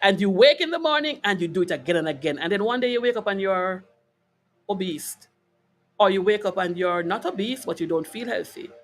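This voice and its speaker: A woman's voice: mid-range voice, expressive, a little fast, a little hiss or hum. Jamaican woman giving an informal health talk. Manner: conversational and emphatic, checks in with listeners using short tag questions. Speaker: host of a wellness broadcast. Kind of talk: informal motivational health talk to a live online audience.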